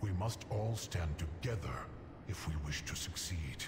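An actor playing a grave, wise elder dragon leader in a video game, speaking in a solemn, measured way. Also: male voice, low-pitched, lively intonation, background noise. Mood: solemn, urgent. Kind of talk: video game character acting.

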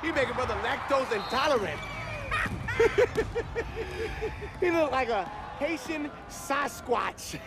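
Casting: a loud, wisecracking young guy, very high and lively voice, over a film score. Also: vocal fry, over loud music, full character voice. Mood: mocking. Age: young adult. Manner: yelling, rapid comic banter. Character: brash wisecracking young man, loud and excitable. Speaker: young man courtside at a pro basketball game. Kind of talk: broad comedy film acting. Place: packed indoor basketball arena.

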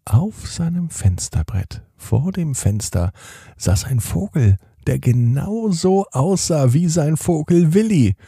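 A man hosting and narrating a children's bedtime story in an expressive manner, speaking directly to young listeners. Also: a little high, slow pace, husky, dry recording, clean studio sound. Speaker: podcast host and storyteller.

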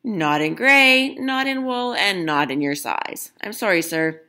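A woman voicing an unhurried, smooth-talking male menswear salesman with deliberate, drawn-out sales patter. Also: medium pitch, expressive, bright, forceful tone. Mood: apologetic.

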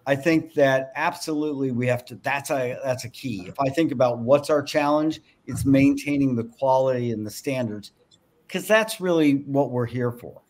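A man in his sixties speaking on a video call as a farm business founder, pitching and answering investor questions. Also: normal speed, darkish tone. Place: home room with bookshelves.

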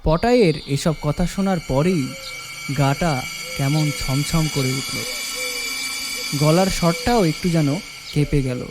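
A man's voice: on the high side, takes it slowly, pauses often, background noise. A man reading a scripted story as its narrator. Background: music.

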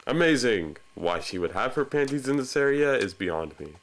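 A man's voice: mid-range voice, expressive, moderate pace. A young man giving casual, off-the-cuff video game commentary in a joking, self-deprecating manner. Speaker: let's play commentator. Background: video game music.

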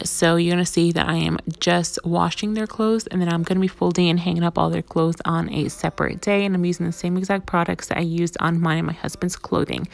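A woman's voice: fairly low pitch, vocal fry. A woman in her thirties narrating a home vlog in a casual, plain, explanatory voice-over. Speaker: home vlogger.

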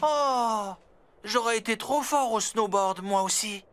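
A man's voice: high voice, takes it slowly, noisy background. A man voicing an eager, childlike digger in a French-dubbed children's cartoon, boyish and pleading. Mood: sulky, pleading. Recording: music bed behind.

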